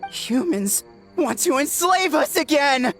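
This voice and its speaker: A high, childlike voice for a gullible little tanuki yokai, agitated and quick to take offence. The lines come in indignant, stammered bursts, game-cutscene style, with music behind. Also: female voice, lively intonation, full character voice. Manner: stammering, indignant, speaks in short exclamations. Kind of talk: animated game cutscene acting.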